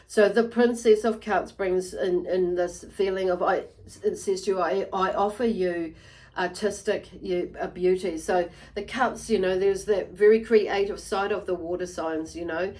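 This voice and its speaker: A woman giving an unscripted tarot reading to viewers, halting and meandering, often repeating and rephrasing herself as she goes. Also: mid-range voice, steady tone, darkish tone. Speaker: tarot reader and channel host.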